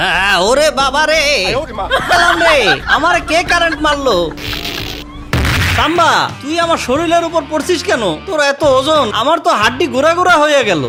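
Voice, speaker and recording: A man's voice: very high voice, animated, normal speed, music in the background. A man voicing a greedy, boastful, bumbling village uncle in a cartoon comedy, melodramatic and blustering. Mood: panicked. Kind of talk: Bengali cartoon comedy acting.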